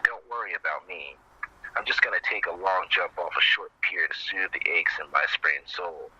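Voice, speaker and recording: Deep, sharp-edged male spoken-word poet. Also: moderate pace, husky. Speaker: poet and podcast guest. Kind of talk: spoken-word poem recital. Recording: dull, lo-fi, faint background noise.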